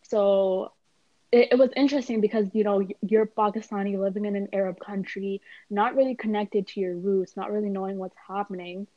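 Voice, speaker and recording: A woman's voice: medium pitch, fairly even intonation, a little fast, dry recording. Young female student in casual chat, filler-heavy, recorded dull and dry. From Canada. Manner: chatty, frequent "like" and "you know" fillers. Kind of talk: casual conversation.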